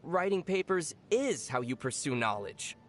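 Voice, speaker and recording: A woman voicing a teasing, deadpan young traveler in a video game, with a dry manner. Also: low voice, normal speed, heavy background noise. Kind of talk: video game character dialogue.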